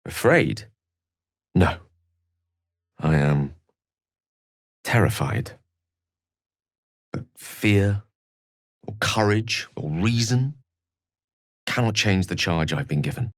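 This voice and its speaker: A man's voice: deep voice, expressive, slow, long pauses, no room sound, studio quality, full character voice. An actor playing an eloquent, learned wizard in a video game, articulate and bookish, wry and earnest in his florid phrasing. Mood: grave, resolute. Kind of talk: scripted video game character dialogue.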